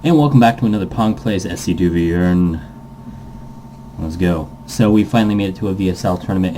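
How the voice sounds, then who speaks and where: A man's voice: fairly low pitch, dark tone, a little hiss or hum, low-quality recording. A man hosting a game playthrough, rambling and thinking aloud in casual commentary as he reads game text and reacts to it. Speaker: host of a visual novel playthrough series.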